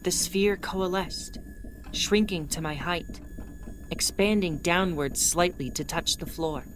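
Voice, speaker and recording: Lady captain telling her story, lowish voice, slow and thoughtful, noisy track. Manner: measured, introspective storytelling. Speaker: starship captain narrator. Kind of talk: audio drama narration. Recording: noisy audio drama recording.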